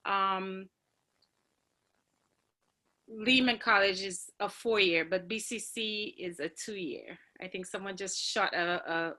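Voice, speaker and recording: A woman's voice: mid-range voice, takes it slowly, long pauses, sharp tone. A woman in her forties telling her personal story as a graduate speaker over a video call, earnest and reflective with frequent ums. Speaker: graduate speaker on a college panel.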